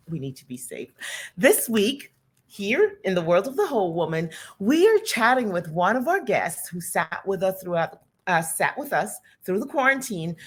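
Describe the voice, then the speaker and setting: A woman's voice: medium pitch, a bit bright. A woman hosting an interview talk show, speaking earnestly and with animation. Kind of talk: hosted interview chat.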